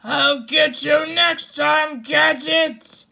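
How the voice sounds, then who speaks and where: A woman's voice: high voice, steady tone, very slow, vocal fry, bright, forceful tone, pitched above the performer's own voice, heavily acted. A woman putting on the voice of a gloating male cartoon villain in a bedtime story reading. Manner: snickering, gloating.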